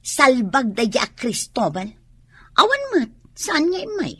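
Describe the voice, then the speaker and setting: A woman's voice: sits high, big pitch swings, measured pace, fairly bright tone, slightly rough, exaggerated voice. An actress with an Ilocano accent playing a disdainful, grumbling young woman in a radio drama. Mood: annoyed, scornful.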